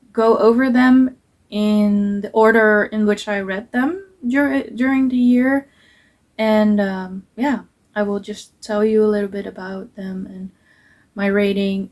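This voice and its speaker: Young dutch woman, talks slow and soft, lots of ums, earnest book vlog vibe. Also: medium pitch. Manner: soft-spoken, hesitant with many ums, earnest. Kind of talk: casual book review vlog.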